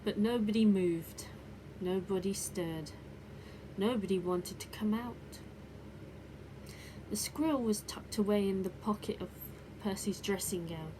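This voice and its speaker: A woman reading a children's bedtime story expressively, with deliberate dramatic emphasis. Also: medium pitch. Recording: clear background noise.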